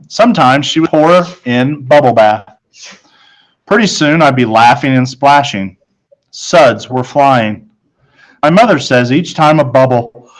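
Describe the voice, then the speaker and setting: Slow-paced man reading a children's story. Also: medium pitch, a bit bright, poor audio. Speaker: storybook reader. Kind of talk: scripted first-person narration of a children's novel.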